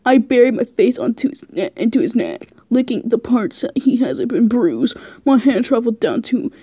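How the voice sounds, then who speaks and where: A woman's voice: on the high side, sing-song, moderate pace, dark tone, slightly rough, dry recording. A woman reading fan fiction aloud casually as an amateur, giggly and stumbling over the text. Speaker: fan fiction reader, channel host.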